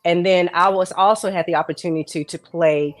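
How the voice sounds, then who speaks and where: Playful woman with a lowish voice. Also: steady tone, fairly quick, dry recording. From Texas, United States. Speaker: guest, saxophonist. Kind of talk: casual chat. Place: indoors, virtual show video call.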